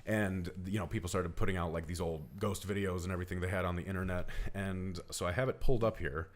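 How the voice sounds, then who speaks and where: A man's voice: low voice, fairly even intonation, fast talker. A man telling a personal story casually on a podcast, earnest and emphatic in the way he describes things. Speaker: podcast co-host.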